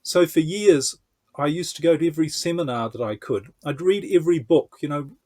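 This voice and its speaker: A man's voice: on the high side, fairly quick, dark tone. A man from New Zealand with a New Zealand accent, talking conversationally as a coach being interviewed on a podcast. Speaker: podcast guest, entrepreneur coach.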